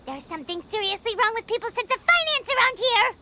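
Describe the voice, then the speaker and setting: A woman voicing a tiny, excitable, blunt fairy companion in a video game, with a squeaky childlike voice. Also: fast, a little hiss or hum, heavily acted. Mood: indignant. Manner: exclamatory, refers to self in third person. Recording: loud music behind the voice.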